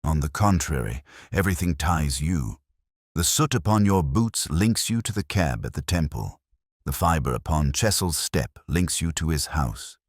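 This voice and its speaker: A man's voice: extremely low pitch, animated, unhurried, no echo, clean studio sound, full character voice. A man voicing a shrewd, commanding Victorian detective in an audiobook, speaking formally and incisively. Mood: accusing, commanding. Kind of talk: dramatic audiobook character reading.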